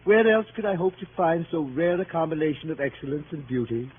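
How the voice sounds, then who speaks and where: An actor playing an amorous gentleman suitor who pleads in florid, theatrical speeches, in 1930s radio style. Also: a little high, lively intonation, fairly quick, natural voice. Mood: adoring. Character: amorous, flowery middle-aged gentleman suitor. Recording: tinny, noisy 1930s radio recording.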